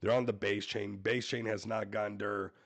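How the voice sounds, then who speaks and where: A man hosting a casual crypto livestream, hoarse and losing his voice, hyping coins and calling out to viewers. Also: fairly low pitch, level tone, normal speed, fairly bright tone. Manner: hype-driven, repeats "everybody", talks to viewers. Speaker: crypto show host.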